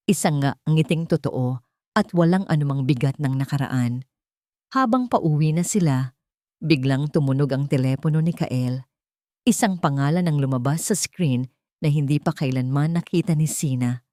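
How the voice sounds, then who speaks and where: A woman from the Philippines narrating a romance audiobook in a gentle, measured storytelling manner. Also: low-pitched, dry recording, clean studio sound.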